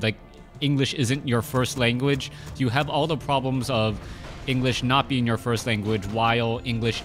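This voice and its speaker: A man in his thirties casting a strategy game match, talking casually with many fillers and restarts. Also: lowish voice. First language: Cantonese. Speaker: game caster. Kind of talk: casual play-by-play commentary.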